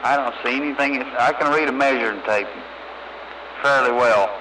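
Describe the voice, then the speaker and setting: A man's voice: medium pitch, normal speed. A man chatting casually and in a folksy way over CB radio. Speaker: CB radio operator. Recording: noisy CB radio transmission.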